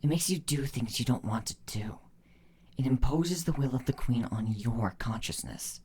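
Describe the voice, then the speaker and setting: A man voicing a stern, cool changeling mother in a storybook reading, speaking in a firm, measured, commanding way. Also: mid-range voice, expressive, darkish tone, a little hiss or hum, natural voice. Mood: grave, cautioning.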